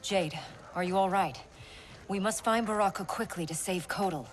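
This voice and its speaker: A woman's voice: medium pitch, moderate pace, close to an everyday voice. A woman playing a noble, resolute warrior princess in a video game, speaking earnestly, firmly and formally. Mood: urgent. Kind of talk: dramatic video game acting.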